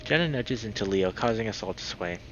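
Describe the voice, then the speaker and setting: A man reading a visual novel aloud as a streamer, with expressive reactions. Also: on the low side, fairly quick. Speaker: video game streamer. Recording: dull lo-fi mic with clear background noise.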